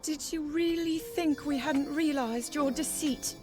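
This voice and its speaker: Young noblewoman, high voice, refined, cool, pointed, game cutscene, faint music. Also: unhurried. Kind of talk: video game cutscene acting.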